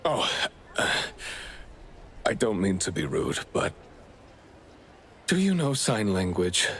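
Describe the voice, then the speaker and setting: A man's voice: low voice, sing-song, takes it slowly, fairly bright tone, slight background noise, full character voice. An actor playing a worried, polite father of a missing boy in a video game cutscene, earnest and anxious. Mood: polite, pleading. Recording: background music under the voice.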